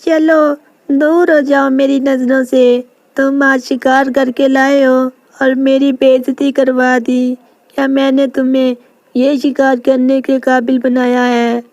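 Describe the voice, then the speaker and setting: An emotional mother lioness from a cartoon fable , played by a woman storyteller. She is protective of her cub and speaks in a raised, high voice with a dry, close sound. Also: little pitch movement, takes it slowly, darkish tone, dry recording, highs cut off. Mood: angry scolding.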